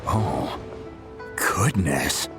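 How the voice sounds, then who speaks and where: A good-natured master blacksmith in a game cutscene. His low voice moves around a lot, hearty and reassuring. Music plays clearly behind him. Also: expressive, drawn-out words, exaggerated voice. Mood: pleased, confident. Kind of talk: video game cutscene acting.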